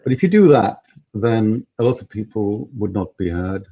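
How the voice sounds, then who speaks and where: A man's voice: on the low side, animated, moderate pace, slightly rough, highs cut off. A man organizing an event, talking casually on a video call, hesitant with many fillers and restarts. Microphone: headphones on a video call.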